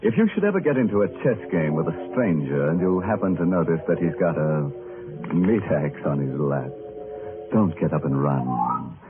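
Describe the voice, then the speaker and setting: Macabre, gleeful 1940s radio horror host. Low register, sardonic drawl, muffled old broadcast with music. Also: male voice, lively intonation. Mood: mock-sinister glee. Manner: sardonic, drawn-out, theatrical delivery. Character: ghoulish, gleeful, mock-sinister male host of a horror show. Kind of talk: 1940s radio horror hosting with ghoulish puns.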